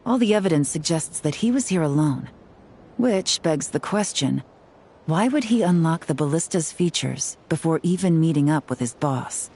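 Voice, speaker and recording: A woman playing a composed, analytical investigator in a video game, speaking in a measured, deliberating way. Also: deep voice, expressive, mellow, slight background noise. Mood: pensive. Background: music.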